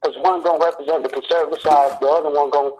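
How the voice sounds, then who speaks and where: A man's voice: on the high side, steady tone, rapid-fire, crowd noise. A man calling in to a show, talking in an earnest, rambling, preachy way. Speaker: caller. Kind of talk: phone-in monologue. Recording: dull phone line.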